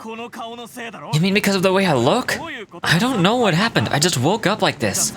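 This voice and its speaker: A desperate, pleading prisoner in a video game. A crowd is clearly audible behind the voice. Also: male voice, high voice, big pitch swings, moderate pace. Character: desperate male prisoner pleading mistaken identity.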